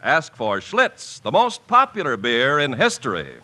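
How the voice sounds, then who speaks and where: A man's voice: medium pitch, wildly swooping pitch, unhurried. A man with an American accent announcing and narrating a 1950s radio show in a polished, emphatic way. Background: music.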